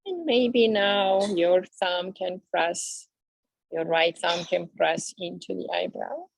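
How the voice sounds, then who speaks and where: Woman yoga teacher talking slow and calm, bit of vocal fry, dry close sound. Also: fairly low pitch, no echo, poor audio. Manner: slow, soothing, drawn-out cues. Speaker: yoga instructor. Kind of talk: guided yoga class instruction.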